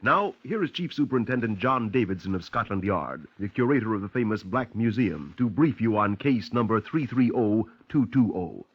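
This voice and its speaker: A muffled old radio recording of a male announcer. His voice is lowish and husky, delivering a formal, scripted introduction in 1950s style. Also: expressive, moderate pace, hardly any pauses.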